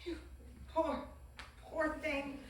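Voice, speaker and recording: A woman playing a haughty, melodramatic, nosy neighbor in a comedic animated show table read. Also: high-pitched, extremely slow, slight background noise, heavily acted. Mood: indignant. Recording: very echoey room, distant mic.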